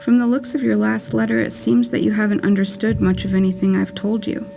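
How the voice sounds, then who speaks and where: A woman reading a scripted poetic monologue for a puppet play as a wry, whimsical letter writer from beyond the grave, in a measured, deliberate way. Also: medium pitch, flat delivery, gravelly, mellow, slight background noise, lightly acted. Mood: wry, wistful. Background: music.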